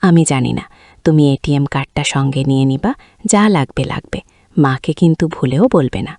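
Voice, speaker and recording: A woman's voice: extremely low pitch, moderate pace, mellow, no echo, pitched below the performer's own voice. A woman voicing a sulky, demanding young daughter in a story, pouting and reproachful. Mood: coy.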